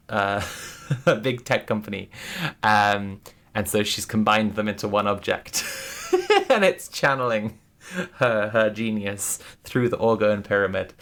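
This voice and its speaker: A woman's voice: extremely low pitch, fairly bright tone. A woman streaming, talking casually and thoughtfully to her chat, with many restarts and fillers.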